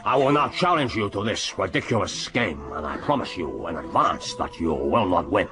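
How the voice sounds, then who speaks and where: An actor playing a commanding, jovial intelligence chief in a radio drama, ceremonious and theatrical. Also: male voice, low-pitched, very animated, normal speed, loud music behind the voice.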